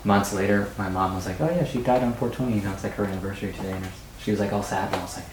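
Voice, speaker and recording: A man's voice: lowish voice, racing, background noise. A man in his twenties chatting casually as an interview guest, joking and slangy. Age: 20s. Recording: echoey, distant mic, chatter behind.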